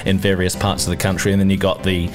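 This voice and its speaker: Chatty low-voiced New Zealand man. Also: monotone, fast talker, a little mellow. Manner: chatty, laughs often, repeats words when starting. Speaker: podcast co-host. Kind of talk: casual chat. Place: apartment with street traffic.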